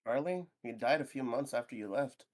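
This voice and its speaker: Man reading a laid-back young guy for a story game, mid-pitched, casual and unforced. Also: lively intonation, a little fast, a little mellow, close to an everyday voice. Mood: easygoing. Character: friendly young man Leo, easygoing host. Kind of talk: reading visual novel lines aloud on stream.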